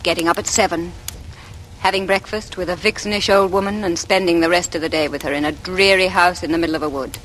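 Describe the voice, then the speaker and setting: A woman's voice: lowish voice, steady tone, fast talker, fairly bright tone, close to an everyday voice. A woman playing a shy, guarded, suspicious young woman with clipped, cool delivery in a 1940s radio thriller. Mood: bitter, flat. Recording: faintly noisy vintage radio recording.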